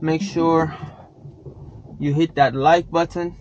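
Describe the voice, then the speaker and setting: High-voiced rambling man, non-native English. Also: steady tone, slow pace, a little mellow, slight background noise. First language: not English. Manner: rambling, profane, philosophizing, talks to chat. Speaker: vlogger. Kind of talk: rambling casual vlog talk. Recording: dull lo-fi sound.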